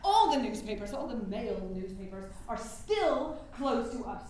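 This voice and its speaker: A woman's voice: a little high, very animated, unhurried, darkish tone. A woman acting an indignant, bitter veteran suffragist in an emphatic, declamatory staged reading. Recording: very echoey room, faint noise.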